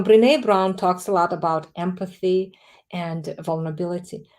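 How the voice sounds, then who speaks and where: A woman, a guest author answering interview questions in a calm, emphatic, explanatory way. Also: fairly low pitch.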